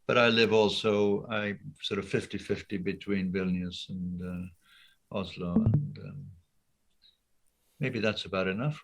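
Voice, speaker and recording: An older man from Wales, an art professor talking thoughtfully on a video call with many pauses and ums. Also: on the low side. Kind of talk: casual interview talk. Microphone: laptop webcam on a video call.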